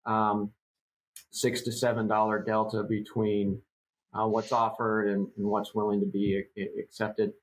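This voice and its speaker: A man's voice: on the low side, monotone, measured pace, rough recording. Easygoing, rambling middle-aged man. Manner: rambling, conversational, many fillers. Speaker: co-host. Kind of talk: casual podcast chat.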